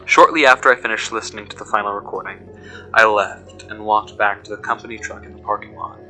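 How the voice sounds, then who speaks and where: Man playing a low, tense horror-story investigator. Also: steady tone, a little fast, sharp tone, poor audio. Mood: measured unease. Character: uneasy male investigator recounting being followed. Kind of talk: scripted first-person horror narration. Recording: music behind the voice.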